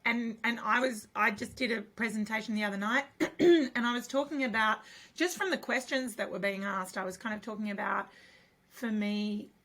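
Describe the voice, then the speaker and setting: Female interview host, husky and losing her voice, casual chatty delivery. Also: fairly high pitch, fairly even intonation, moderate pace. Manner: chatty, warm filler-heavy talk with many restarts. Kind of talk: casual conversation.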